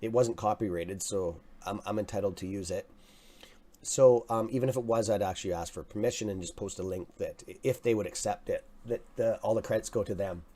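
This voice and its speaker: A man with a raspy, mellow, fairly low voice, chatting loosely through a live trading stream. He gets excited, swears now and then, and keeps addressing his viewers as "guys.". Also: a little fast. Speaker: livestream stock trading host. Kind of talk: casual live market commentary.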